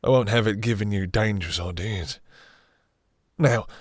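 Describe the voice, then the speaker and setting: A man playing a possessive, domineering vampire master in an intimate roleplay audio drama, with slow, theatrical, condescending menace and a breathy, seductive purr. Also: on the low side, no echo, studio quality, close to an everyday voice. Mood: stern, threatening.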